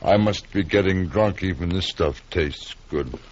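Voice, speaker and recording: An actor playing a tipsy, sentimental man who rambles slowly between drinks, in a 1950s radio drama. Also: low-pitched, background noise. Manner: slow, drunken and rambling. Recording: muffled old radio broadcast.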